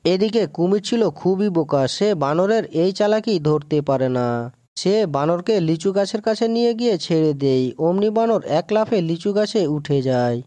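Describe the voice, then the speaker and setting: Mellow, higher-pitched male story narrator. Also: lively intonation, unhurried, no room sound. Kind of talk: scripted children's fable narration.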